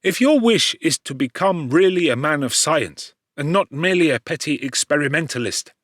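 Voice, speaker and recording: A man's voice: fairly high pitch, expressive, normal speed, fairly bright tone, no echo, studio quality. A man voicing an eloquent, kindly chemistry professor in formal, lecturing tones for an audiobook. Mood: affable, advising. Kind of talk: audiobook character voice.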